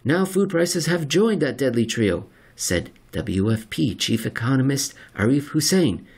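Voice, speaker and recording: A man with a slightly husky voice narrates a written article in a deliberate, measured way with dramatic pauses. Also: medium pitch, animated, darkish tone. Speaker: narrator reading an article aloud. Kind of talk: scripted narration of an economics article.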